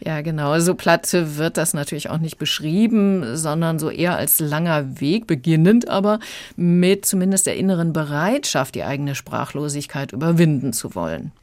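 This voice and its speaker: Female radio critic, low register, measured explanatory delivery, dry sound. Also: animated, darkish tone, no echo. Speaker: audiobook critic. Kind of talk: explanatory radio review in conversation.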